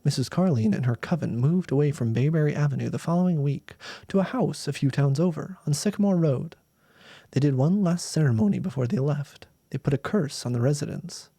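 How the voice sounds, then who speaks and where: A man's voice: fairly high pitch, brisk, dark tone, breathy edge, dry recording. A man narrating a story aloud as a storyteller, reading expressively. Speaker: storyteller, streamer.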